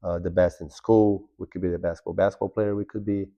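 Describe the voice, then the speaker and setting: A man's voice: deep voice, little pitch movement, brisk, no room sound, dull audio. A man in his thirties from Brazil, a native Portuguese speaker, answering interview questions politely and steadily. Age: 30s. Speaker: guest.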